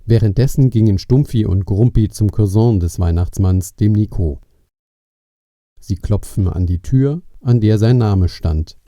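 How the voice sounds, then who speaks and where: A man's voice: lowish voice, unhurried, warm tone, no room sound, studio quality. A man narrating a scripted Christmas story for children as its storyteller.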